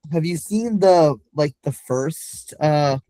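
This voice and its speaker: A man's voice: fairly high pitch, slow, dark tone, no echo. A man on a casual group video call, hesitantly asking a question with many fillers. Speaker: community member asking a question.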